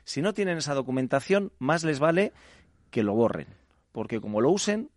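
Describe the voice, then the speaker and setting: A man from talks as a legal expert guest on a radio show. His voice sits at a middle pitch, and he builds each point methodically, like a lecture. The sound is close and dry, with no room echo. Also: lively intonation, normal speed. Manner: lecturing, builds arguments step by step. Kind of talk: explanatory radio discussion.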